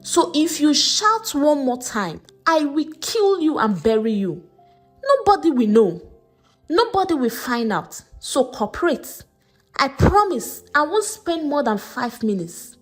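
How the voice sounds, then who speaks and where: A woman voicing a cruel, commanding uncle in a dramatized folktale, with a forceful, threatening manner. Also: high voice, sing-song, a little slow, soft background music. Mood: menacing, commanding. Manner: forceful, domineering, coaxing at times.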